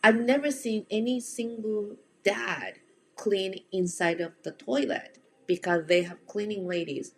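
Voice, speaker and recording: A woman's voice: medium pitch, unhurried, soft background music. A woman from Japan with a Japanese accent, giving casual, direct instruction as a home organizing coach and speaking to the listener in an encouraging, plain-spoken way.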